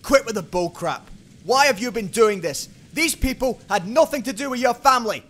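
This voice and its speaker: A man's voice: very high voice, lively intonation, normal speed, a bit bright, full character voice. A man playing a grim, determined police detective in an amateur radio play, earnest and hard-boiled in manner. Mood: angry confrontation.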